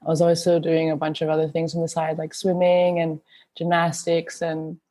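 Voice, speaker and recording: A woman's voice: sits low, monotone, moderate pace, mellow. A woman in her thirties from Malaysia, talking casually as a guest in an interview. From Kuala Lumpur, Malaysia. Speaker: podcast guest, dance artist and teacher.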